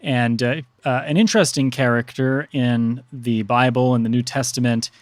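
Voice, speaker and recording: A man speaking as a priest and podcast guest, explaining religious customs conversationally in measured, scholarly, precise wording. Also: medium pitch, dry recording.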